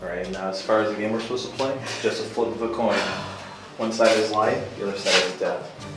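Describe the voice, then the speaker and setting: Actor doing calm doomed male captive, film thriller, lowish voice, rapid delivery, echo, loud music. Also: close to an everyday voice. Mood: coldly calm. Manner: matter-of-fact, calm. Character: coolly fatalistic male captive playing deadly game. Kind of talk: dramatic film acting. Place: small bare room. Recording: echoey room, distant mic, loud music.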